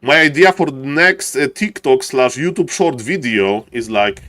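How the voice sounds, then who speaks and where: A man with a Polish accent doing live strategy game commentary and chatting to viewers, excitable and repeating phrases for emphasis. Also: mid-range voice, moderate pace. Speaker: esports caster and live streamer.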